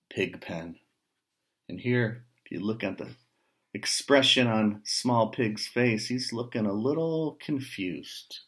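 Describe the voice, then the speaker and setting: Slow, low-voiced man reading a storybook. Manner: unhurried, pausing between short phrases, asking listeners questions. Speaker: writer reading a picture book aloud. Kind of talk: read-aloud storytelling with casual asides to young listeners.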